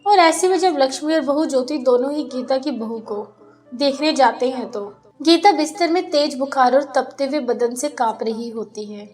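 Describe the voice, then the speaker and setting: A woman's voice: sits high, moderate pace, a little mellow, soft background music. A woman reading scripted narration of a family moral story as a storyteller.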